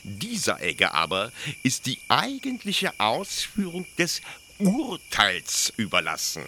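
A man acts out a zealous young officer of a penal colony in a radio play. His voice is sharp and very bright, swinging widely as he explains with pedantic, halting pride. There is faint noise behind him. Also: mid-range voice, huge pitch swings, heavily acted. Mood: pedantic, eager. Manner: hesitant pedantic explaining, with stammered pauses. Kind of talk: German literary radio play acting.

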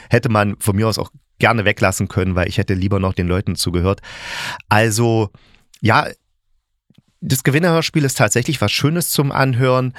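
A man's voice: fairly low pitch, a bit bright, dry recording, clean studio sound. A middle-aged man from East Germany giving a casual, opinionated review as a podcast host, speaking bluntly and colloquially and correcting himself as he thinks aloud.

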